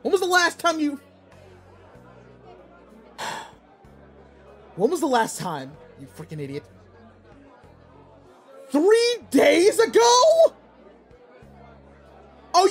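A man's voice: very high voice, wildly swooping pitch, extremely slow, pauses often, faint music behind, a faint crowd, exaggerated voice. A man playing a brusque, anxious young student bully who secretly cares, in an audio roleplay drama with emotional delivery. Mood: upset, scolding.

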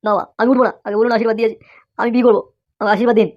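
Woman doing a whiny lazy cartoon boy, really high voice, talks super fast. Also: level tone, dull audio, very noisy, lightly acted. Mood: pleading. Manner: pleading, whiny, peppered with exclamations. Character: lazy whiny schoolboy cartoon rascal dreaming of marriage. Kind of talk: comic cartoon dialogue.

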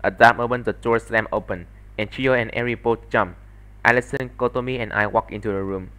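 A man's voice: medium pitch, steady tone, a little fast, a bit bright. A man giving scripted narration for a solo fandub of a story.

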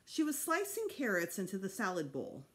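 A woman's voice: medium pitch, wildly swooping pitch, normal speed, fairly bright tone. A middle-aged woman reading a children's storybook aloud expressively at home, like a teacher. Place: small room at home.

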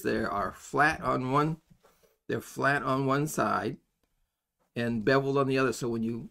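An older man with a noticeably creaky, gravelly voice at an average pitch teaches a practical task at his bench. His delivery is folksy and halting, rambling along informally as he demonstrates each step. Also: fairly bright tone. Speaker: soldering instructor. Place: indoors at a workbench.

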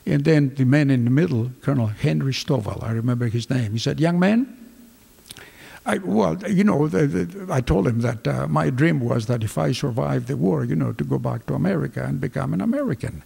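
An older man with a Greek accent, telling his wartime memories in a lively storytelling manner, acting out the dialogue. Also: medium pitch, brisk, vocal fry, slightly rough, big-room sound. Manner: lively storyteller, acts out dialogue with hand gestures. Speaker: veteran fighter pilot. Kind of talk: recounting war memories. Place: office with flags, indoors. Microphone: desk microphones in front.

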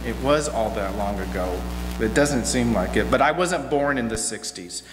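A middle-aged American man giving a reflective sermon as guest preacher, measured, with deliberate pauses and repeated phrases, at a church pulpit microphone. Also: lowish voice, lively intonation, over music. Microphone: gooseneck pulpit microphone. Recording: echoey hall, noticeable hum.

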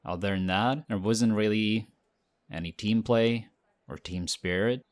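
A man's voice: fairly low pitch, unhurried, lightly acted. A man playing a modest young team captain. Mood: excited, friendly. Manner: relaxed, modest, chatty. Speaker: audio roleplay actor. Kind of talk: audio roleplay.

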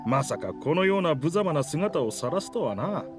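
A man's voice: a little high, very animated, normal speed, soft background music. A man voicing a proud talking pirate ship in a video game, with boastful, theatrical, old-fashioned speech. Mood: rueful, theatrical. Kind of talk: Japanese video game character dialogue.

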